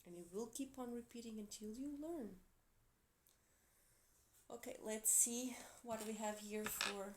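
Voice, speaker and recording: A woman from Portugal, speaking English as a second language with a Portuguese accent, giving an earnest, encouraging tarot reading with soft, quiet asides. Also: fairly high pitch, a little slow, pauses often. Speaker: tarot reader. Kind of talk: tarot reading for a zodiac sign.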